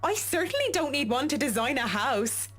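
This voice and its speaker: A woman's voice: high-pitched, sing-song, normal speed, a bit bright, soft background music. An actress playing a witty, self-assured, no-nonsense woman designer in a visual novel game.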